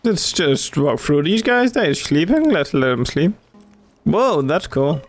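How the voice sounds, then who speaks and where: A man's voice: on the high side, sing-song, slow, soft background music. A man giving casual, chatty commentary as a gamer while he plays.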